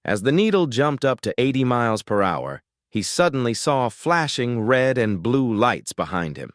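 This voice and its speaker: Lively man telling jokes. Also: mid-range voice, no room sound, studio quality. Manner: lively storytelling, quick shifts into character voices. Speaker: joke teller. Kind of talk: reading jokes aloud.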